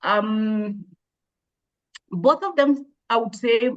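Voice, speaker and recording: A woman, an education expert, answering interview questions in a measured, thoughtful way with frequent hesitations and restarts. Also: fairly high pitch, highs cut off. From Kenya. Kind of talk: explaining in an interview.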